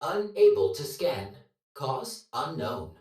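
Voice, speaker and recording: A man's voice: mid-range voice, takes it slowly. A man playing a literal-minded robot support companion in a video game, formal and clinical in wording. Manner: flat-worded, formal, literal. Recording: distant, heavily echoing voice.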